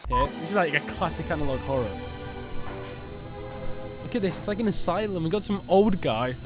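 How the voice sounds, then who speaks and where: A man's voice: fairly high pitch, animated, brisk, music in the background. A man giving casual, exclamatory and incredulous live reaction commentary as host of a reaction video.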